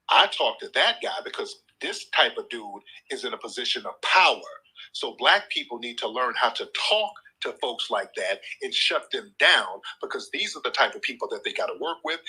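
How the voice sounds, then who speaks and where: A combative man hosts a live call-in show, lecturing hard and cutting callers off. His voice is bright and edgy with a husky grain. His pitch is a little high and swings widely as he talks. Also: low-quality recording. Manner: combative, lecturing, repeats points; swears freely, talks over the caller. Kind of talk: heated live call-in argument.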